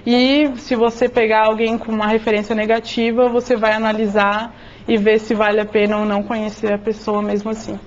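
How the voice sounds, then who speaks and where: Flat-toned woman explaining rules. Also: a little high, a little fast, a little hiss or hum. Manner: steady, explanatory, some fillers. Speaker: seminar panelist. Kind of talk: explanatory talk at a seminar. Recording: dull lo-fi sound.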